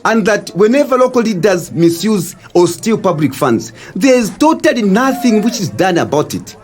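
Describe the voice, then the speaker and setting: A Zambian man in an audio drama, easygoing but cynical about those in power. His fairly high voice moves up and down dramatically as he chats and questions, with faint music underneath. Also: very animated, moderate pace, darkish tone. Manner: conversational, questioning. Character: laid-back, curious Zambian man, cynical about corrupt leaders. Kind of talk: scripted educational audio drama.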